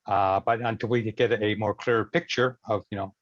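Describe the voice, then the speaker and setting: A man's voice: fairly low pitch, steady tone, normal speed, no echo. A man speaking hesitantly, repeating words as he goes, in a club meeting on a lo-fi video call. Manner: hesitant, stammering delivery. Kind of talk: meeting discussion. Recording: dull lo-fi video call audio.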